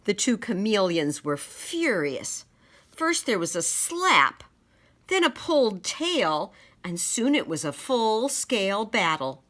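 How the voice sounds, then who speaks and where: Woman reading a kids story out loud, dramatic n slow, voice goes up and down a lot. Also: medium pitch, big pitch swings. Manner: dramatic, deliberate storytelling with sound effects. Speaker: storyteller reading a children's book. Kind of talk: expressive children's picture-book read-aloud.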